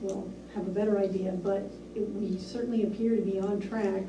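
A woman reporting to a town board in a measured, businesslike way with frequent ums, recorded in a small municipal meeting room by a distant camera mic. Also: medium pitch, little pitch movement, roomy sound, background noise. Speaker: town administrator. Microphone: far room camera.

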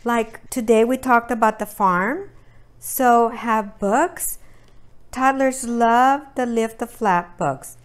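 Slow-paced woman demonstrating learning activities. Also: on the high side. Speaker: early childhood educator presenting activities. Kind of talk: demonstration talk to parents. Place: indoors at a table by a brick fireplace.

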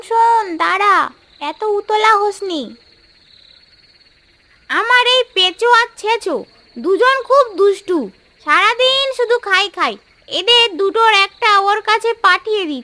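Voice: very high-pitched, animated, measured pace, sharp tone, heavily acted. A performer voicing a bossy old female ghost in a cartoon, scolding and threatening.

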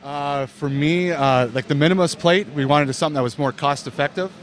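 A man in his thirties, a company representative, describing gear in a loose, relaxed way with many fillers, speaking into a handheld mic in an indoor expo hall. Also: a little high, fairly quick. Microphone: handheld mic held by interviewer.

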